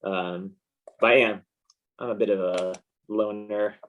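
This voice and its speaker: A man's voice: on the low side, steady tone, a little slow, narrow, tinny audio. A man chatting casually as an interview guest, in a rambling, understated, laid-back way. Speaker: interview guest, comic book artist. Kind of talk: casual chat.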